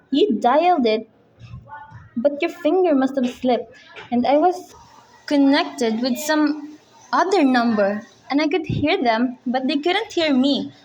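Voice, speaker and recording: Woman acting nervous bossy invalid wife in a radio play, high fretful voice, bit of background noise. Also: animated, unhurried, darkish tone, dull audio. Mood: exasperated, imperious. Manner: fretful, insistent, imperious. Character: anxious, demanding invalid wife alone at home.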